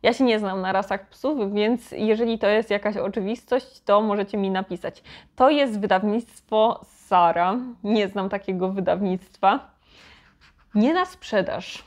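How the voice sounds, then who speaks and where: A woman's voice: mid-range voice, measured pace. Chatty woman, casual book vlogger. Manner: chatty, informal, thinking aloud. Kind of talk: casual chat to viewers.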